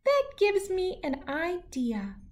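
Lady doing a teeny storybook shrew guy, super high squeaky voice, polite and earnest. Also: wildly swooping pitch, takes it slowly, a little mellow, full character voice. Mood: thoughtful. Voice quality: tiny, squeaky voice. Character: tiny, polite, eager male shrew with big plans. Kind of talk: children's storybook character voice.

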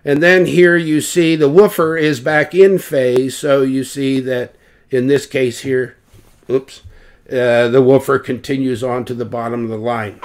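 A man's voice: mid-range voice, level tone, unhurried. A man giving an informal technical explanation as an expert, posing questions and then answering them. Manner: explanatory, self-correcting, rhetorical questions. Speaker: loudspeaker designer explaining measurements.

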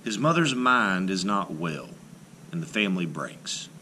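A man reading a children's picture book aloud expressively, with dramatic phrasing and deliberate pauses. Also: deep voice, big pitch swings, sharp tone, slight background noise, low-quality recording.

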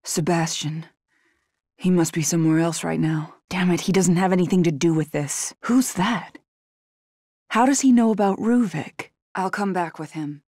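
A woman's voice: fairly low pitch, normal speed, a little mellow, dry recording, studio quality. A young woman voicing a guarded, determined female agent in a horror video game, serious and terse. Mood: tense, brooding.

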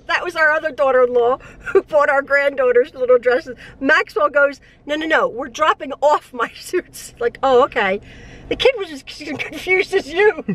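A woman's voice: high-pitched, a bit bright. A middle-aged woman chatting casually to a phone camera in a parked car, rambling with frequent restarts and laughs. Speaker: vlogger and mother. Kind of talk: casual chat. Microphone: phone held at arm's length.

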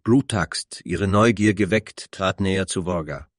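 A man's voice: deep voice, measured pace, dry recording, clean studio sound. A man narrating a scripted fantasy story.

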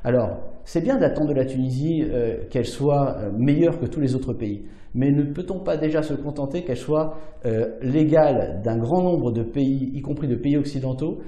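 A French businessman in his forties speaks earnestly to the camera, pausing often with fillers as he makes his case. His voice is dark and mellow, of middling pitch. The small room around him gives a noticeable echo. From France. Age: 40s. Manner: earnest, persuasive, many fillers, gestures with hands. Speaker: business leader. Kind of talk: direct-to-camera video address. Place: small indoor studio against plain backdrop.

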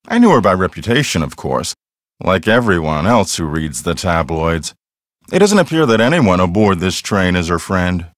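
A man's voice: deep voice, very animated, normal speed, no room sound, clean studio sound. An actor playing an earnest, self-assured male ghost hunter in a mystery video game, explaining things in a matter-of-fact, conversational way. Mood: wry, measured. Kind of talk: acted video game dialogue.

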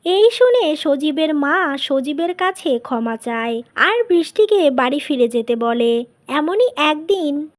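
A woman's voice: high voice, very animated, slow pace. A woman narrating a cartoon tale as a scripted storyteller.

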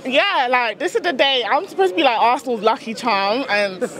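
A woman's voice: a little high, moderate pace, fairly bright tone. A young woman football fan answering casually in a street interview outside a stadium at night, talking into a handheld mic. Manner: casual, giggly, uses fillers. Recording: outdoor crowd noise.

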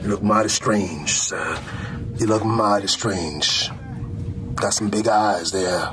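A middle-aged American man playing a stern, mocking army drill sergeant, barking intimidating, deliberate lines in a comedic roleplay. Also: on the low side, music in the background, low-quality recording. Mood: mocking, suspicious. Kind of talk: comedic military ASMR roleplay.

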